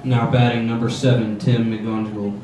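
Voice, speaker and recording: Teen guy putting on a deep flat stadium announcer voice, loud and formal, echoey and far off with lots of noise. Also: moderate pace, close to an everyday voice. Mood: announcing. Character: booming deep-voiced male ballpark announcer. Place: press box at an outdoor baseball field. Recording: echoey, distant, noisy camera audio.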